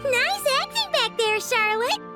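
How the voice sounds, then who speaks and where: A woman voicing a tiny, childlike, cheeky fairy companion with a squeaky, chirpy voice in an anime game dub. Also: very animated, measured pace, over loud music, exaggerated voice. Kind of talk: English-dubbed anime game acting.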